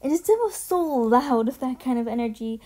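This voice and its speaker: Higher-pitched young woman, gushing casual commentary. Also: animated, fairly quick, warm tone. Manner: gushing, slangy, swears casually. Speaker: video essay host. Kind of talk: casual ranking commentary voice-over. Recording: music behind the voice. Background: music.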